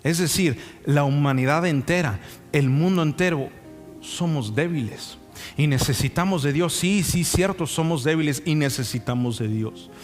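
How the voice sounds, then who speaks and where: A young male preacher speaks in a somewhat high voice over background music, in a hall with long reverb. He tells anecdotes conversationally and keeps checking in with rhetorical questions. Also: normal speed. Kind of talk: sermon with anecdotes. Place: church sanctuary.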